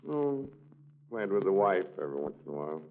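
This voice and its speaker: An actor playing a slow, folksy, easygoing small-town club man in a 1940s radio comedy. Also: sits low, sing-song. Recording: muffled 1940s radio recording.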